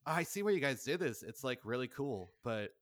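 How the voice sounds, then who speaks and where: A man's voice: medium pitch, very animated, moderate pace, no room sound. A man speaking as a podcast guest in casual chat, telling anecdotes by acting out what people said. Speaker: podcast guest, audio drama writer-director.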